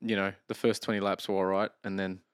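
A man co-hosting a casual sports podcast, laid-back and quick to laugh. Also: low voice, little pitch movement, clean tone, no room sound, clean studio sound. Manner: laid-back, laughs often, says "mate". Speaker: podcast co-host. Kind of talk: casual chat.

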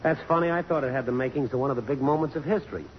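A man's voice: mid-range voice, very fast, natural voice. An actor playing a brash, teasing male photographer and old flame, glib, sardonic and insistent, in 1950s radio drama style. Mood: needling, flirtatious. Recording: dull, reverberant, noisy old radio broadcast.

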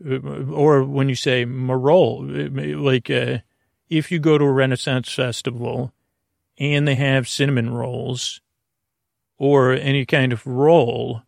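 A man with a creaky, gravelly voice at a middling pitch hosts a sleep podcast. He rambles haltingly, stopping and starting as he wanders off on tangents. The sound is dry and close, with no room around it. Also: flat delivery, no echo. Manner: hesitant, halting, trailing off into tangents. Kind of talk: rambling, meandering bedtime monologue.

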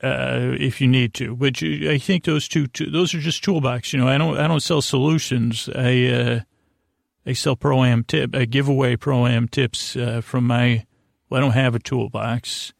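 A man hosting a bedtime podcast in a rambling monologue, halting and often trailing off mid-thought, with a gravelly voice. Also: medium pitch, fairly even intonation, no echo, clean studio sound. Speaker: podcast host. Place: podcast studio.

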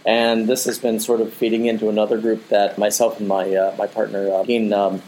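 Low-voiced man explaining his art. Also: flat delivery, fairly quick, a little mellow, a little hiss or hum, rough recording. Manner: rambling, repeats "the thing is" and "you know". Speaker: digital artist guest. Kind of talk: casual interview talk.

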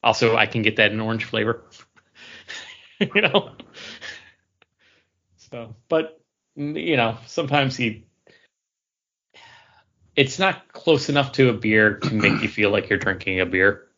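A man's voice: on the low side, measured pace, long pauses, bright, forceful tone. A male podcast co-host chats in an unscripted, rambling way. He feels his way through sentences with some hesitation. The recording is somewhat dull and lo-fi. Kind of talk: casual chat, drink review.

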